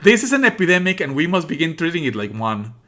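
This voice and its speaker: A man's voice: on the high side, sing-song, fast talker, fairly bright tone. A man streaming a let's play, reading on-screen text aloud and adding sarcastic, exasperated asides. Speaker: streamer playing a visual novel.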